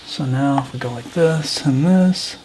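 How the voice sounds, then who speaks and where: A man's voice: mid-range voice, measured pace, roomy sound. A man chatting casually while working on a car, picked up by a camera microphone. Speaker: helper in car repair. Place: at a car's open engine bay by a garage. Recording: clear noise, clanking tools.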